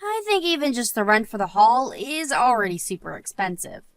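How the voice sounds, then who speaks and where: A woman's voice: on the high side, sing-song, moderate pace, no echo. A woman playing an earnest married woman and loyal friend in a scripted story drama. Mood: calm, chatty.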